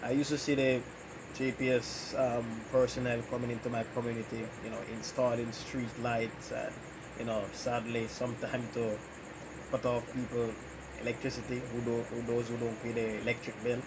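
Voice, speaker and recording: A Jamaican man who runs his own channel talks through interview questions in an easy, unhurried way. He pauses often and fills the gaps with ums and you-knows. A steady hiss of background noise sits under his voice. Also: mid-range voice. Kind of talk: casual interview answers about his life. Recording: noisy background.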